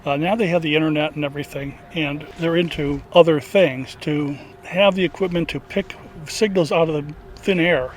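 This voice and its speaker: A man's voice: fairly high pitch, moderate pace. An older man, a radio volunteer, talking casually in an interview.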